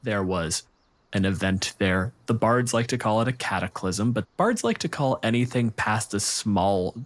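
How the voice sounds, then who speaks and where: A man acting as game master, narrating an improvised tabletop story with dramatic pauses and dry humor. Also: on the low side, expressive.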